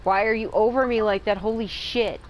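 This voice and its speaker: A woman's voice: mid-range voice, steady tone, fairly quick, a little hiss or hum. A woman game streamer giving informal, reactive playthrough commentary and reading game text aloud. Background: game music.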